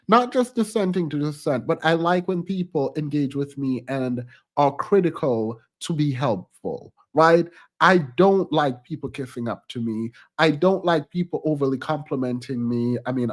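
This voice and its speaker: A man hosting a commentary channel, talking casually and reflectively about politics while congested from a cold. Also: on the high side. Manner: chatty and reflective, frequent ums and restarts, occasional laughs.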